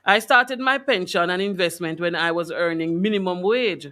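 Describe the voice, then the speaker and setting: A woman hosting a live radio discussion in a conversational, deliberate way, rephrasing points for clarity. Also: lowish voice, a bit bright. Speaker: radio show host.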